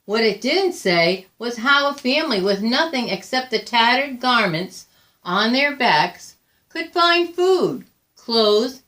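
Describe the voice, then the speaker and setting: A woman reading a children's picture book aloud with deliberate, expressive phrasing. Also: a little high. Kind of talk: read-aloud children's storytelling.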